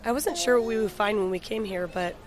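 A woman's voice: mid-range voice, brisk, soft background music, lightly acted. A woman playing a composed, hopeful survivor in an audio drama.

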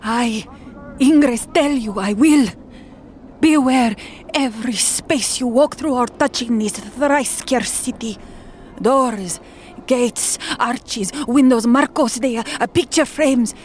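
An actress playing a frightened, half-mad woman with a Spanish accent, halting and haunted, slipping Spanish words into her English. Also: a little high, darkish tone, slight background noise. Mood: fearful warning. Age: middle-aged. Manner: halting, haunted, mixing Spanish into English, often dropping to a whisper. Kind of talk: audio drama acting.

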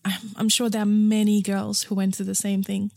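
A woman talks openly and chattily as an interview guest, often restarting her sentences. Her voice sits in a middle pitch. It is recorded close and dry, with no room sound. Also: monotone, normal speed, gravelly, mellow, no echo. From Nepal. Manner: frank and chatty, with frequent fillers and restarts. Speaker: interview guest, founder of a period underwear brand. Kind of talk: casual interview conversation.

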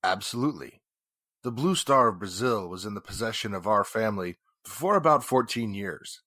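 A man plays a self-assured gentleman in a 1940s-style radio drama. His voice is fairly low but bright and forceful, with a dry, close sound. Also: animated, normal speed, no room sound. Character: assured gentleman from a diamond-owning family.

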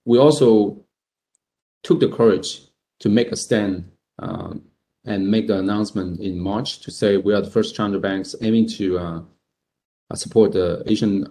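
A young man, a startup founder, answering interview questions in a halting way, with frequent pauses and tag questions. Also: on the low side, creaky, dark tone.

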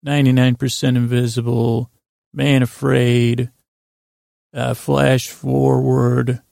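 A man speaks in a deeply gravelly, fry-laden voice that barely moves in pitch. He rambles like a bedtime podcast host, halting and wandering between half-finished thoughts. The recording is dry and close, with no room to it. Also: flat delivery, darkish tone, dry recording. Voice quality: gravelly, creaky. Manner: meandering, halting, trailing off mid-thought.

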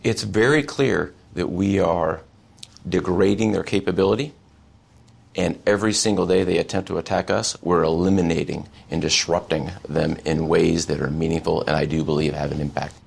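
Male US military official, extremely low and husky, firm official phrasing in formal interview answers. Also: fairly even intonation, moderate pace. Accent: American. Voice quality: gravelly.

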